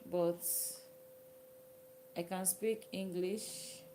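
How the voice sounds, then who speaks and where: A Nigerian woman whose native language is Yoruba, chatting casually with viewers on a live stream, sometimes dropping to a soft whisper. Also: fairly low pitch, fairly even intonation, drawn-out words, background noise. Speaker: livestream host. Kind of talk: casual live chat reading comments.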